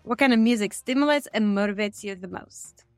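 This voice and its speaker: A woman's voice: medium pitch, a little fast, a little mellow, smooth, no echo, soft background music. Woman reading interview questions. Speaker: podcast interviewer. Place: Stockholm.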